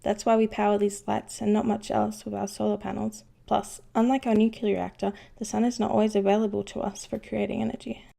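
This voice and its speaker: A woman's voice: medium pitch, flat delivery, brisk, vocal fry, hardly any pauses, dark tone. A woman playing a knowledgeable, unflappable ship's engineer who explains things patiently in an educational cartoon. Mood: matter-of-fact. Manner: patient, instructive. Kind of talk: scripted educational explanation in animation.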